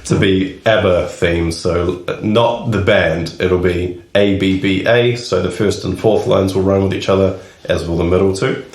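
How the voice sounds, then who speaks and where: A man with a deep, low voice chats loosely on a podcast. He speaks frankly, laughs often and swears freely. The sound rings in an echoey room. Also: fairly even intonation, measured pace, hardly any pauses, a little mellow. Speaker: podcast co-host. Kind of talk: casual chat.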